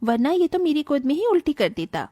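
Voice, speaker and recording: A woman playing a selfish, vain, irritable young mother in an animated moral story, with a whiny, dramatic delivery. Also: sits high, a little fast. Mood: irritated, dismissive.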